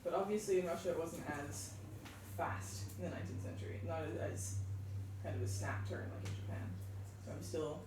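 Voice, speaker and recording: A man's voice: fairly high pitch, fairly quick, background noise. A man discussing literature at a seminar, thinking aloud with many restarts and false starts. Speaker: literature researcher. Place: large echoey room. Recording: roomy, from far off.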